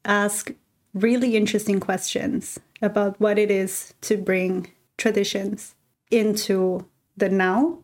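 A woman hosting a podcast who asks curious, gentle questions. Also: mid-range voice, fairly even intonation, takes it slowly. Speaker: podcast host. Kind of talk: interviewing in a podcast conversation.

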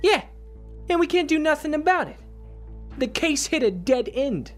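A man playing a frustrated, obsessive police detective in an audio drama, halting and agitated, with a short fuse. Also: very high voice, very animated, faint music behind. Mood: irritated, bitter.

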